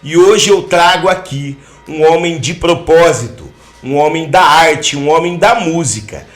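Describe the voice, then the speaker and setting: Male podcast host, upbeat and flowery, slightly high voice in a roomy, echoey space. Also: unhurried. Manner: effusive, inspirational phrasing. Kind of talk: podcast interview.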